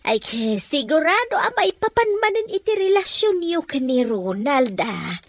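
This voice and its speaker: A woman's voice: sits high, very animated, a little slow, a little hiss or hum, full character voice. A woman playing a gossipy, cheeky older household helper in a comic Filipino radio drama style. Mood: gossiping.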